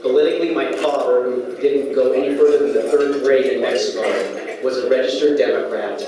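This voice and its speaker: A middle-aged man whose first language is Spanish, giving a sincere, prepared speech as a guest speaker, with frequent "uh" pauses. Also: medium pitch, fairly even intonation, a crowd in the background. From United States, California. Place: large banquet hall at a lunch event. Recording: echoey hall, distant, clattering dishes.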